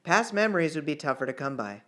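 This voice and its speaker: A man's voice: a little high, big pitch swings, quick pace, bright, forceful tone. A man narrating fiction in an audiobook style, reading aloud with the odd small stumble. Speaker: author reading own novel chapter.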